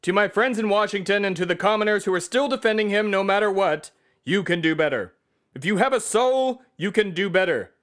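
A man's voice: sits high, animated, fairly quick, fairly bright tone. A man playing America itself as a bombastic, self-important patriotic orator on a satirical comedy podcast. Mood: impassioned speechifying. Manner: pompous, declamatory oratory.

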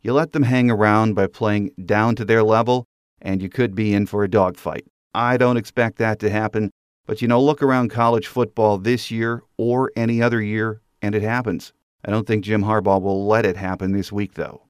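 Low-pitched man hosting a sports podcast. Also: steady tone, normal speed, smooth, no echo, studio quality. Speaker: podcast host. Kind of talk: scripted sports podcast narration.

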